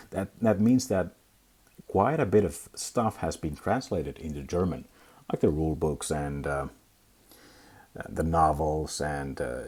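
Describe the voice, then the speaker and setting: A young Finnish man with a Finnish accent, hosting a casual hobby review vlog, talking in a halting way with many fillers and restarts. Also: rumbling low, darkish tone, husky. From Finland. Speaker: tabletop gaming channel host.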